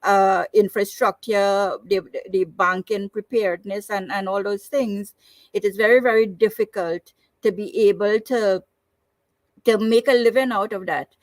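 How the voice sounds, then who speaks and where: A woman wrapping up her talk on a video call, halting and repeating words as she searches for phrasing. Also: mid-range voice, steady tone, no room sound, rough recording. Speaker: departing speaker.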